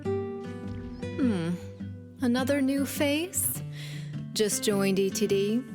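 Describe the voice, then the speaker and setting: A woman's voice: on the high side, very slow, over music. An actress playing a languid, playful, money-loving shopkeeper woman in a video game. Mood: pleased, teasing.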